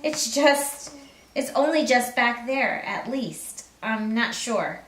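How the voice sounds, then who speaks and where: A curious, well-mannered young girl voiced by a woman reading aloud, hesitant and high in a storybook scene. The recording is echoey and slightly distant. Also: lively intonation, slow, fairly bright tone. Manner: polite, hesitant. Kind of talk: children's storybook read aloud. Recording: roomy echo, distant mic.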